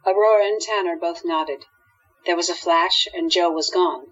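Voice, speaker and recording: A woman's voice: lowish voice, normal speed, fairly bright tone, soft background music. A woman narrating a scripted radio drama as storyteller, on dull lo-fi audio.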